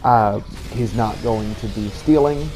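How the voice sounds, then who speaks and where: A man's voice: on the low side, measured pace, warm tone, soft background music. A man hosting a gaming channel, giving a casual, explanatory spoken introduction to a playthrough with a somewhat hesitant delivery. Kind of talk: casual gameplay introduction commentary. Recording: noticeable background noise.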